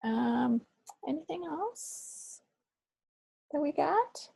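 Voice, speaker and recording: A woman's voice: high voice, very animated, drawn-out words, vocal fry, dark tone, no echo, poor audio. An American woman chatting casually as a podcast co-host. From United States. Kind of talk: casual chat.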